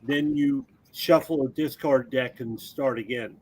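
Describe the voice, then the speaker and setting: Slow-paced man explaining game rules. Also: mid-range voice, steady tone, darkish tone, a little hiss or hum, low-quality recording. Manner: explanatory, helpful. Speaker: guest game designer teaching the game. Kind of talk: casual tabletop game play and rules explanation.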